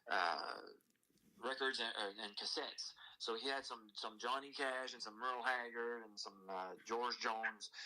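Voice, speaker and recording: A man's voice: medium pitch, pushed and bright, low-quality recording. A man in his forties whose first language is French, a country singer telling his story in a casual interview with many restarts. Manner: halting, many restarts and fillers.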